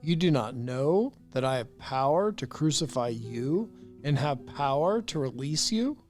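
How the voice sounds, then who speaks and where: Voiced by a woman reader, this is a stern Roman governor in a scripture story, uneasy beneath his authority. The voice sits much lower than hers and moves slowly, each question weighed. Soft guitar plays faintly behind. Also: expressive. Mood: grave.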